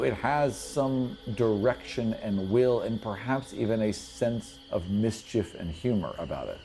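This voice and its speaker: A man's voice: lowish voice, mellow. A man acting as game master, narrating a tabletop fantasy game in an explanatory, conversational way.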